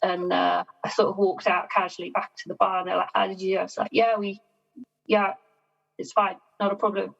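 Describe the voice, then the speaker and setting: A woman talks calmly and modestly as a podcast guest, laughing between her points. Her voice has a slight fry to it. The recording is a little muffled. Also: medium pitch, faint music behind. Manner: measured, self-deprecating, laughs often. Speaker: sailing guest. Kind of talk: casual podcast interview. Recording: dull lo-fi sound.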